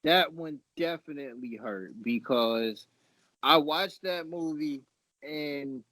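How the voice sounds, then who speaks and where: A man's voice: a little high, lively intonation, slow, sharp tone, no room sound. A man hosting a podcast in casual chat about movies and games, speaking informally and often repeating his phrases as he goes. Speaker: podcast host.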